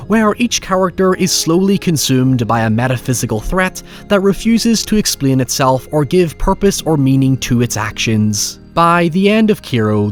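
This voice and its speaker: A man hosting a video essay, narrating scripted commentary in an articulate, measured way with wry asides. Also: mid-range voice. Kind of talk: scripted narration, film and horror retrospective commentary.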